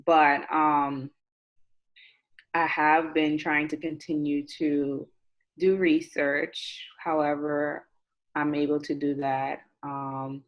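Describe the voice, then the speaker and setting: Woman, late twenties, low pitch, casual, candid, repeats herself for emphasis, dull video-call sound. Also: level tone, takes it slowly. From Nassau, Bahamas. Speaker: interview guest, chemistry graduate student. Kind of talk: casual chat.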